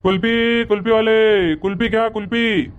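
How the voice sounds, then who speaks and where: कार्टूनमधल्या बोलक्या, तेच तेच सांगणाऱ्या गावाकडच्या कुल्फीवाल्याला वैदर्भीय मराठीत आवाज देणारा पुरुष. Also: male voice, sits high, fairly even intonation, moderate pace, lo-fi sound, noisy background, natural voice. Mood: hawking. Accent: Vidarbha Marathi. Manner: gossipy, repetitive. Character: chatty male village kulfi street vendor. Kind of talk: cartoon comedy dubbing.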